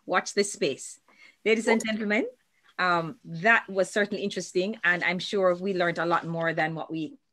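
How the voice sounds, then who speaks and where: A woman's voice: mid-range voice, fairly bright tone. A woman moderating a panel on a video call, introducing speakers in an upbeat, effusive way.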